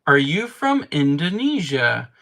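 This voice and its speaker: A man's voice: fairly high pitch, sing-song, gravelly, bright, forceful tone. Chatty man streaming from home. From Canada. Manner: chatty, rambling, quick to laugh at himself. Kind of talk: casual livestream chat answering viewer comments. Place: home game room.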